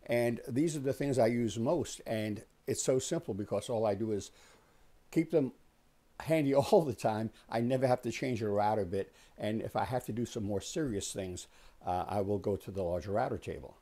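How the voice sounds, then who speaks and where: A man in his sixties giving a casual, unhurried explanatory tour as the host, talking conversationally in a small indoor workshop. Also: on the low side, mellow. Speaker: host of a woodworking shop tour.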